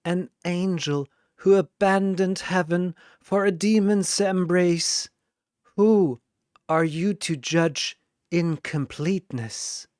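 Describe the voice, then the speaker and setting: A man voicing a haughty, stony goddess queen who declaims slowly in a fantasy audio battle. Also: sits high, a little mellow, no room sound, clean studio sound, pitched above the performer's own voice. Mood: cold contempt.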